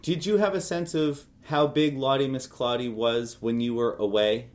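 A man's voice: mid-range voice, fairly even intonation, normal speed, a little mellow. A man hosting a casual interview, reacting briefly and prompting his guest by finishing the thought. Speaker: podcast host, interviewer.

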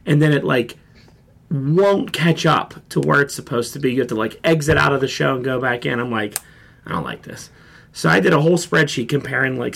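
A man hosting a casual pop culture podcast, hoarse and froggy from a sore throat, joking and rambling. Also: on the high side, fairly bright tone. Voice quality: froggy, gravelly. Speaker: podcast host.

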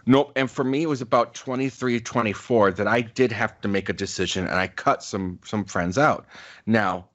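Low-voiced man in his forties. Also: moderate pace, a bit bright, dull audio. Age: 40s. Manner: reflective, earnest, many fillers. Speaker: podcast co-host. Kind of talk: casual podcast chat.

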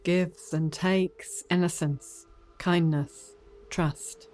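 A woman's voice: fairly low pitch, steady tone, extremely slow, a little mellow, soft background music. A woman speaking poetic lines in a radio play.

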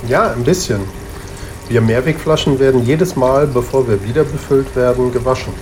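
Male returnable bottle acted by a man, medium pitch, patient, knowledgeable, reassuring guide, children's radio play, clear noise behind. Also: moderate pace, darkish tone, natural voice. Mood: patiently explaining. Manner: reassuring, explanatory.

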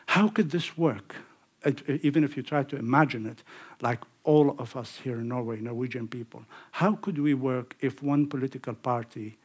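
Guy giving a talk in english, husky voice, mid pitch, chatty with lots of uhs. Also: moderate pace. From Iraq. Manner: conversational, with frequent fillers and restarts. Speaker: lecturer. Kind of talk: public lecture. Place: lecture hall.

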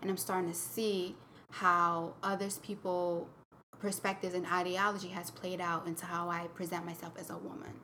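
A young woman with a somewhat low voice tells her own story on a podcast. She talks candidly and reflectively, leaning on "like" and "um". Faint room sound sits behind her. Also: level tone, normal speed, pushed and bright, slight background noise. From Connecticut, US. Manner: candid, reflective, many fillers and "like". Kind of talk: personal storytelling in a casual chat.

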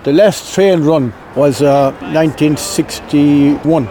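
Older irish man with a clare accent, mellow voice, rambly stories with little laughs. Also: on the high side, slow pace, slight background noise. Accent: Irish, County Clare. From Ireland. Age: 70s. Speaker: interviewee. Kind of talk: reminiscing, casual storytelling.

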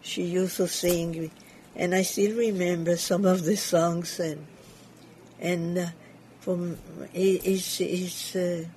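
A woman's voice: lowish voice, level tone, slow, darkish tone, a little hiss or hum. Older woman with a Cuban Spanish accent. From Cuba. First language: Yiddish. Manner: hesitant, searching for English words. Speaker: community leader. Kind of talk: interview conversation.

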